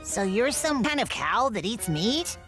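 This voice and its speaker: A boyish anime game hero, fearless and guileless. The pitch is high and swings widely, at an unhurried pace, with music behind. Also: female voice, sing-song, fairly bright tone. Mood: unimpressed, bold. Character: cheerful, naive, fearless young boy hero. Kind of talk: anime video game voice acting.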